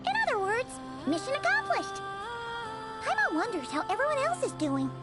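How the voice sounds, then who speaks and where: A woman's voice: very high-pitched, huge pitch swings, moderate pace, full character voice. A woman voicing a tiny, cheerful, chatty girlish fairy companion in a video game. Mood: relieved, chatty. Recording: loud game music behind.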